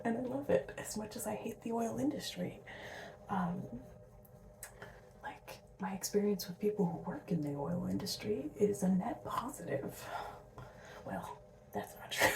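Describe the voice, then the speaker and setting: A sleep-deprived young woman from Alberta, rambling in a soft whisper with asides and little laughs as she does her drag makeup. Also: medium pitch, gravelly. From Alberta, Canada. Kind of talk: casual whispered makeup tutorial. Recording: roomy, mic set at a distance.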